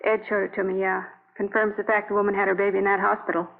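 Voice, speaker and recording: Even-toned, businesslike actress as police office woman. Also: mid-range voice, fast, gravelly, lightly acted. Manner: businesslike, understated. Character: brisk, matter-of-fact female police office worker. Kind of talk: 1950s radio police drama acting. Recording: muffled, narrow old radio broadcast.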